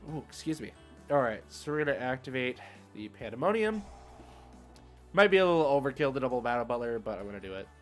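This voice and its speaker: Male game streamer, average pitch, casual rambling play-by-play, slangy, music underneath. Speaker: streamer playing an online card game. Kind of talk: casual live game commentary, thinking aloud. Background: music playing behind the voice.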